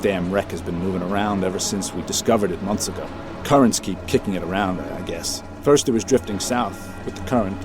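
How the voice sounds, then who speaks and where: A man's voice: fairly low pitch, natural voice. An actor playing a surly, stubborn waterfront man, blunt and grumbling, in an audio drama. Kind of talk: full-cast audio drama acting.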